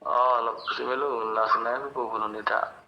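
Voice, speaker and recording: A man's voice: medium pitch, steady tone, normal speed. A man chatting casually on the receiving end of a phone call. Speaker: prank call recipient. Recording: echoey noisy phone call.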